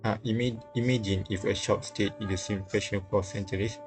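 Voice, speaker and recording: Casting: male streamer reading game text aloud, halting, lowish and monotone, music underneath. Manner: halting, stumbles while reading. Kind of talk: live read-aloud of a visual novel.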